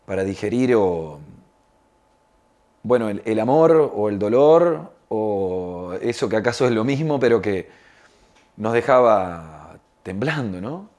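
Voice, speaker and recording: A man's voice: fairly low pitch, expressive, slow, a little mellow. A man reflecting in an interview, hesitantly searching for his words. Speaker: interviewee on a radio drama project.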